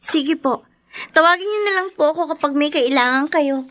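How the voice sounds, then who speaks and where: An actress playing a meek, dutiful, caring teenage daughter who speaks deferentially in a radio drama. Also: female voice, high-pitched, normal speed. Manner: soft-spoken, deferential, hesitant.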